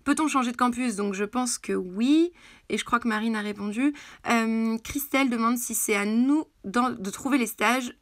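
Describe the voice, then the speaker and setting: A woman's voice: a little high, normal speed, no room sound, studio quality. A woman in her twenties giving informal advice to students in a live Q&A, hesitant and thinking aloud. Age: 20s. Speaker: start-up founder and business school alumna answering live questions.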